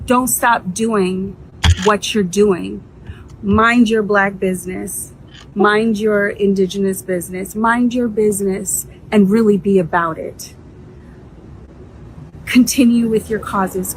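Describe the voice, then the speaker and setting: Woman founder, earnest, encouraging, mid-pitched voice, direct message. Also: a little hiss or hum, low-quality recording. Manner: earnest, encouraging, faith-filled. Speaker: small business founder. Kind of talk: heartfelt direct-to-camera message.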